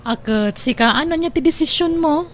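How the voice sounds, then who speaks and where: Woman acting a kindly, higher-pitched restaurant boss. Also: normal speed, slight background noise, close to an everyday voice. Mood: kind, practical. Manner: reassuring, questioning. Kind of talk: Ilocano radio drama acting.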